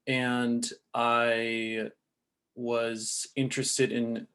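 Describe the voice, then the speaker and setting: A man speaking as a panelist in a relaxed way, thinking aloud and restarting sentences. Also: medium pitch, extremely slow. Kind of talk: casual panel talk.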